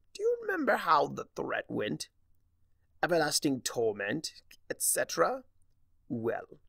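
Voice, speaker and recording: A man's voice: a little high, creaky, pushed and bright, no echo, clean studio sound, pitched above the performer's own voice, full character voice. Man putting on a theatrical, swooping trickster chimera. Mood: gloating menace. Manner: pompous, wordy, taunting. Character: theatrical, mocking, vengeful male chimera trickster of chaos. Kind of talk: dramatic fan fiction audiobook reading.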